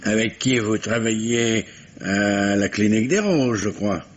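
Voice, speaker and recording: An actor playing a businesslike, drily insistent police commissioner who questions with small stammers and blunt turns, in an old radio mystery. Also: male voice, on the low side, a little slow, natural voice. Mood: insistent, polite. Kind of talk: 1950s French radio mystery acting. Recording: dull, noisy vintage radio recording.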